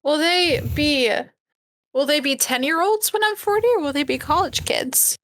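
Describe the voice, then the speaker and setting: Young woman chatting, slightly high voice. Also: animated, moderate pace, a bit bright. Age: 20s. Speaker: podcast co-host. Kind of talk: casual chat.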